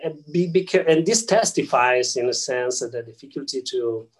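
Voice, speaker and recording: A man with an Italian accent, a non-native English speaker, explains physics and theology as an interview guest, pausing often with filler sounds and restarting his sentences. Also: medium pitch, lively intonation. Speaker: guest scholar.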